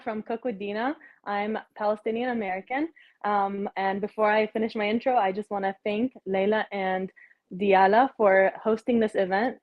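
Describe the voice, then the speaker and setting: A woman's voice: mid-range voice, no echo. Friendly Texan-accented woman cook, dull dry home recording. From Texas. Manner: friendly, talkative, with ums and uhs. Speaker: home cook introducing herself. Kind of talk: casual cooking demo.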